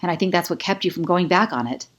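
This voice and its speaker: A woman's voice: lowish voice, fast, close to an everyday voice. An intense, wounded young ex-boyfriend in a romance audiobook, voiced by a woman narrator. He speaks with jealous feeling and a sense of old hurt.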